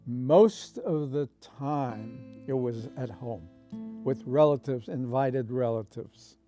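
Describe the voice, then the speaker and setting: Elderly man in his nineties, dark voice. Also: mid-range voice, soft background music. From Austria. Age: 90s. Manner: unhurried storytelling with pauses. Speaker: Holocaust survivor giving testimony. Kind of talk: recorded oral history.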